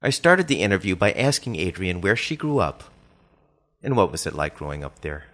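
Low-voiced man hosting radio interviews. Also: sing-song, moderate pace, fairly bright tone. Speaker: radio program host and interviewer. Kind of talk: scripted radio introduction and interview questions.

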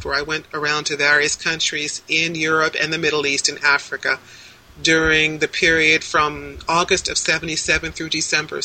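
A woman in her sixties speaks over a video call, her voice very bright and sharp-edged. She answers in a measured, articulate way, stringing details into long lists. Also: deep voice, low-quality recording. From United States. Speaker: interviewee. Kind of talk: casual interview answers.

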